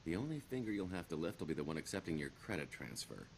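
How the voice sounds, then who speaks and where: A man's voice: sits low, sing-song, fast talker, vocal fry, slight background noise, exaggerated voice. A man playing a roguish middle-aged career criminal in a video game, wry and boastful, with a wheedling, theatrical sarcasm. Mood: scheming.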